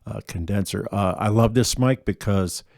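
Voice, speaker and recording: Man, deeper voice, mellow, dry close sound, casual and rambling. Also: normal speed, creaky, dry recording. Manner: relaxed, rambling with many ums and restarts. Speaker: gigging acoustic musician. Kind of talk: casual gear rundown.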